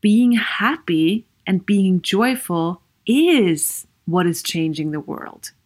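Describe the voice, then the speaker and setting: Slow-spoken Austrian woman podcast host. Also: medium pitch, expressive. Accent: Viennese Austrian German. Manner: earnest, encouraging, many rhetorical questions and "you know" fillers. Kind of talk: solo podcast monologue.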